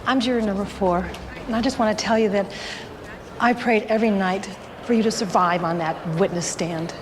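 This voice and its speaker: Actress as audio drama juror, fifties, sincere, friendly gushing delivery, mid-pitched, noisy surroundings. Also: female voice, normal speed, natural voice. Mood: warm, earnest. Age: middle-aged. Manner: earnest, effusive. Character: friendly, sincere fifty-something woman juror. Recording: clear background noise.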